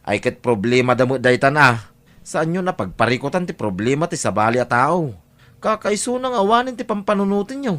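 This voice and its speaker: A man's voice: on the high side, very animated, a little fast, fairly bright tone. A man playing an exasperated grown son in an audio drama, scolding and nagging his overly generous father. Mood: scolding, indignant.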